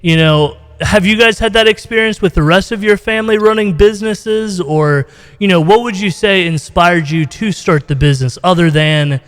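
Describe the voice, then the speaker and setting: A man's voice: high-pitched, moderate pace, a bit bright, clean tone. An American man hosting a casual interview, talking in a rambling, informal way full of "you know.". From United States, Ohio. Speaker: podcast host, interviewer. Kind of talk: casual video-call interview. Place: indoors, reverberant room on a video call. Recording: roomy, long reverb.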